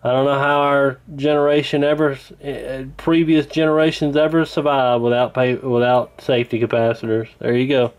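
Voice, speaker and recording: A man's voice: medium pitch, fairly even intonation, normal speed, hardly any pauses, smooth. A man casually narrating a hands-on repair as he works, recorded on a camera at an indoor workbench. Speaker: host, radio repairer. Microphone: handheld camera near the bench. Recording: noticeable background noise from camera mic.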